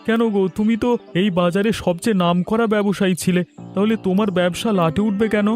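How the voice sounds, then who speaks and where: A man's voice: high-pitched, steady tone, fairly quick, a little mellow, faint music behind, close to an everyday voice. A man voicing a scheming, jealous village villain in a cartoon story. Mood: inquisitive. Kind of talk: cartoon voice acting.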